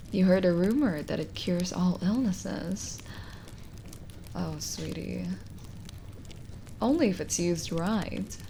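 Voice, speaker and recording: A woman playing a lonely, kindly witch in an ASMR roleplay, speaking gently and coaxingly with hesitant pauses and drifts into whispering. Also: fairly low pitch, creaky, a little hiss or hum. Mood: gently curious. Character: lonely, kindly forest witch, soothing and hospitable. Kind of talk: ASMR roleplay audio drama.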